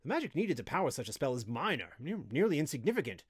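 A man's voice: a little high, big pitch swings, fast, breathy edge, no room sound, studio quality, heavily acted. A man voicing a cold, domineering wizard teacher in an audiobook, with a commanding, imperious delivery. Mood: cold, menacing. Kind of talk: audiobook character voice.

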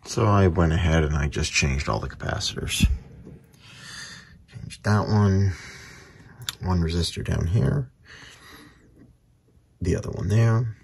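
Very deep, creaky-voiced man. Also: a little mellow. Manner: unhurried, thinking aloud in short halting phrases. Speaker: hobbyist radio repairer, video host. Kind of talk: casual hands-on repair commentary. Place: indoor workbench.

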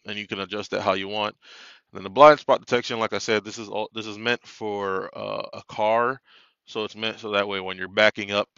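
Man, low monotone voice, gravelly fry, bright edge, rambling casual walkthrough, inside a truck cab, handheld phone, dry muffled audio. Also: no echo. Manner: rambling, filler-heavy, self-correcting. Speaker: truck driver reviewing a dash cam. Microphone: phone held while filming the dashboard.

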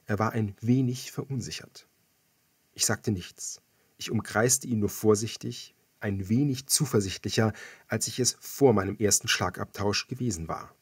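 Male narrator, husky, lowish pitch, measured dramatic first-person storytelling, scripted audiobook. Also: no room sound, studio quality.

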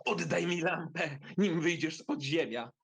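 A man voicing a furious, menacing sorcerer uncle in a storybook audio drama, shouting threats and hissing spells. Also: fairly high pitch, measured pace, creaky, slightly rough, pitched above the performer's own voice, exaggerated voice. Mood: demanding.

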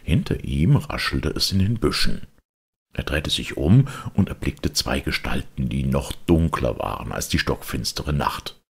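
A man narrating a children's audiobook in a theatrical, expressive way. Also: a bass voice, unhurried, creaky, breathy edge. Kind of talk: scripted children's story narration.

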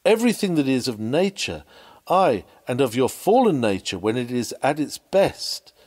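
A man's voice: medium pitch, sing-song, moderate pace, a little mellow. Man reading an earnest sermon. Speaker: reader of a historical sermon. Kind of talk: read-aloud sermon, measured preaching delivery.

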